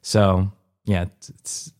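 Low-voiced young man in casual conversation. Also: little pitch movement, slow pace, a little mellow. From Colombia. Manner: informal, hesitant and self-correcting, often seeking agreement with "you know". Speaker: interview guest, comedian and former dancer. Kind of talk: casual conversational interview, personal storytelling.

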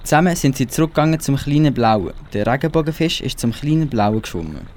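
A man's voice: medium pitch, animated, quick pace, a little mellow. A teenage boy with a Swiss German accent, narrating a scripted amateur children's radio play.

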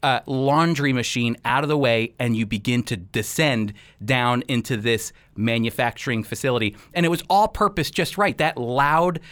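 A man's voice: mid-range voice, normal speed, hardly any pauses, a bit bright. A man hosting a radio interview in a conversational style, guiding the guest and addressing listeners.

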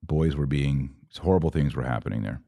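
A man's voice: very deep voice, fairly quick, vocal fry, mellow, no echo, clean studio sound. A man hosting a live religious commentary podcast, answering comments in an exhortative, emphatic way and repeating key phrases.

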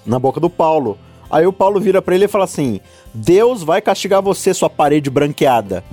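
A man's voice: a little high, fairly quick, faint music behind. A man co-hosting a casual Bible discussion podcast, joking and acting out lines from the story. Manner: jokey, animated, acts out quotes. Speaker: podcast co-host.

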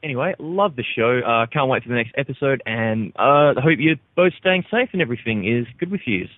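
A man's voice: mid-range voice, lively intonation, fairly quick, no echo. Australian man on a tinny phone line. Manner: profane, joking, laid-back. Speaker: caller. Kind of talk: casual voicemail message.

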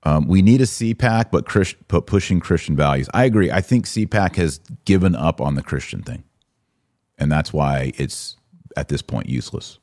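Low-voiced American man, casual podcast host. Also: animated, moderate pace, darkish tone, dry recording, clean studio sound. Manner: informal slangy talk. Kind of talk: casual live commentary, reading and answering viewer comments.

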